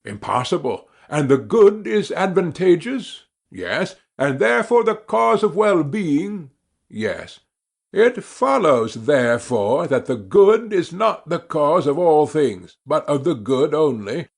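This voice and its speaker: A man narrating a classical philosophical dialogue as an audiobook reader, in a measured, formal manner. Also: on the high side, sing-song, creaky, breathy edge.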